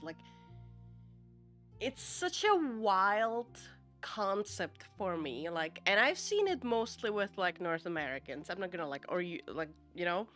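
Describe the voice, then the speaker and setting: A woman chats unhurriedly with her stream viewers, drawling and in no rush. She rambles through her thoughts, padding them with fillers, and breaks into laughs often. Soft music plays faintly behind her. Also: medium pitch. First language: Czech. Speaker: live streamer. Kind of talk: casual chat with viewers.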